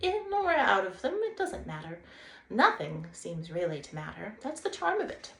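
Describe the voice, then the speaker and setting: A woman's voice: medium pitch, sing-song, normal speed, vocal fry, a bit bright. A woman voicing a cheerful male water rat, a dreamy boating enthusiast, in an emphatic, hearty, rhapsodic manner for a children's storybook. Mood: carefree, rhapsodic. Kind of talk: children's storybook read-aloud. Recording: roomy echo, faint background noise.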